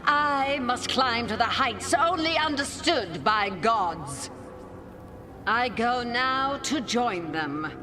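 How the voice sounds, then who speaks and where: Slow, grandiose elven goddess villain. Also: female voice, fairly high pitch, expressive, over music. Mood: haughty. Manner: grandiose, deliberate. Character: proud, obsessed elven goddess, villainous mad creator. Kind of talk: dramatic video game villain acting.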